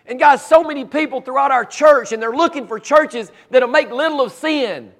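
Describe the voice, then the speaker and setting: High-voiced raspy preacher man. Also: moderate pace, fairly bright tone. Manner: fervent, building to emphatic repeated lines. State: raspy voice from cheering and allergies. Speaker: pastor. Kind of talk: preaching a sermon.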